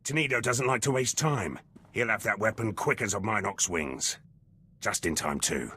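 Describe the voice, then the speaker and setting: Gruff, husky, deep-voiced soldier. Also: male voice, animated, moderate pace, sharp tone, exaggerated voice. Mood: brisk, businesslike. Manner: terse, clipped phrases that drop words. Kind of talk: video game character dialogue.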